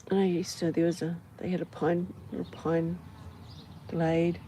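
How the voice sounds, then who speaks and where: A woman with a New Zealand accent musing aloud in short unhurried phrases with pauses, in an unscripted personal ramble recorded outdoors. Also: on the low side, level tone, dark tone, slight background noise. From Auckland, New Zealand. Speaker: host of a personal ramble vlog. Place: outdoors on a farm doorstep. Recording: outdoor ambience, buzzing flies and insects. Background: flies, insects, farm animals.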